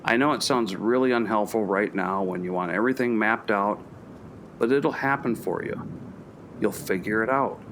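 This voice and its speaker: A man playing a sympathetic, level-headed professor in a naturalistic radio play, speaking in a measured, reassuring way. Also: on the low side, fairly bright tone, a little hiss or hum, close to an everyday voice. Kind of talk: naturalistic radio drama dialogue.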